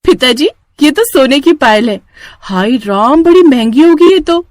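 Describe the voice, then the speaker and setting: Woman voicing a sweet, high-pitched innocent girl. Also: lively intonation, unhurried, a little mellow, no room sound, studio quality. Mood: delighted. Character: sweet, innocent young village daughter, trusting. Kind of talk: cartoon character acting.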